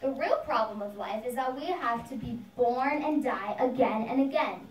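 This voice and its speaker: A woman's voice: on the high side, lively intonation, moderate pace, close to an everyday voice. A woman voicing an earnest young boy devotee who preaches simply to his friends in a puppet show. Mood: earnest devotion. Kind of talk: puppet show storytelling, sermon-like. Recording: echoey room, distant microphone.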